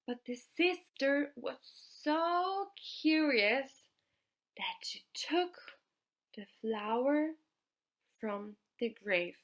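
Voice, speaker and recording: Very slow, higher-voiced woman telling a fairytale. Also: a bit bright. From Austria. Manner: halting, deliberate phrasing with pauses between short word groups. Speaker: storyteller.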